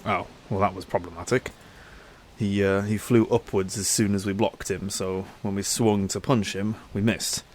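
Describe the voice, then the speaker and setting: A young man with a slightly low voice and a stuffy, bunged-up nose from a cold. He chats easygoingly over his gameplay, thinking out loud, with a faint hum of ambience behind him. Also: steady tone, normal speed. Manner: chatty, easygoing, thinks aloud. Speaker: gaming channel host. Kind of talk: casual gameplay commentary.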